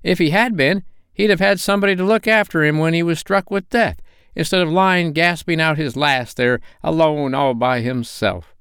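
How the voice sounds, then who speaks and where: A man's voice: fairly high pitch, moderate pace, a bit bright, clean tone, dry recording, clean studio sound, voice pushed up. A man voicing a bold, defiant Victorian charwoman with a brash, scornful way of talking.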